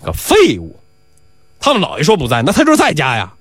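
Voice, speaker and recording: A man's voice: a little high, wildly swooping pitch, a little fast, a bit bright, breathy edge, no echo, clean studio sound, full character voice. A man voicing a bossy, impatient master berating his servant in a comic folk tale. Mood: scolding. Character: impatient, scolding master of a household, male.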